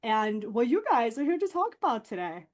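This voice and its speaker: A woman's voice: fairly high pitch, very animated, normal speed. A young woman from Norway, hosting a podcast in an open, chatty way with frequent fillers. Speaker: podcast host. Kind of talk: casual podcast conversation.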